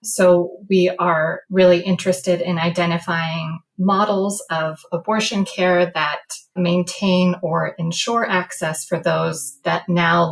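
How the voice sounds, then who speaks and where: A woman's voice: fairly low pitch, rough recording. An American woman speaking as a guest researcher, explaining a study in an interview.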